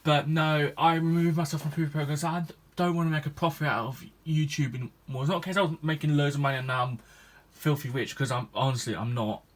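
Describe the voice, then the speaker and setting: A man's voice: fairly high pitch, steady tone, quick pace, hardly any pauses. A 21-year-old man, a gaming YouTuber chatting to his viewers in a rambling, hesitant way, full of restarts and ums. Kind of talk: casual vlog chat to viewers.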